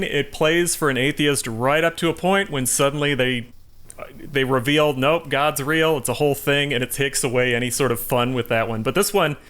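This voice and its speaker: A man's voice: a little high, a bit bright. A man co-hosting a podcast, chatting casually about films in a wry, skeptical way. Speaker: podcast co-host. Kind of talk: casual film discussion.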